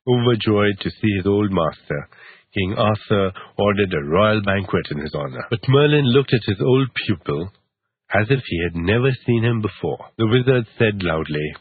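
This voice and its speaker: A man's voice: fairly low pitch, expressive, normal speed, husky, dry recording. A man reading a storybook tale aloud. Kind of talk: storybook narration. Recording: tinny phone-like clip.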